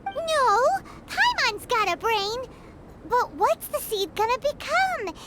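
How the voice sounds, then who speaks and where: A woman voicing a tiny, blunt, excitable floating fairy girl who speaks of herself in the third person, in a game. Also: extremely high pitch, slow pace, heavy background noise, exaggerated voice. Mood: curious. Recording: game audio with loud music behind.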